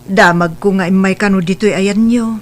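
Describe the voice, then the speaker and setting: Brief: man voicing a weary middle son, high voice, soft and tired, light hum. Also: steady tone, normal speed, natural voice. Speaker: son in a family drama.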